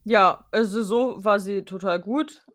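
A woman's voice: medium pitch, unhurried, a bit bright, no echo, clean studio sound. A woman co-hosting a podcast, giving a casual recap and chatting informally. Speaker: podcast co-host.

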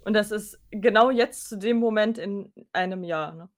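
Female co-host, average pitch, relaxed conversational podcast talk, informal phrasing, musing as she goes. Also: moderate pace. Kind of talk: casual chat.

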